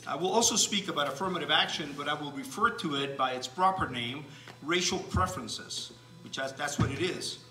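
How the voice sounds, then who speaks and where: A Cuban-born man speaks in a bright, forward tone, laying out his points slowly with frequent restarts and fillers. He sounds far from the microphone in a reverberant room. A faint ambient hiss sits beneath the voice. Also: a little high. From Cuba. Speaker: speaker giving a talk. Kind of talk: lecture to an audience.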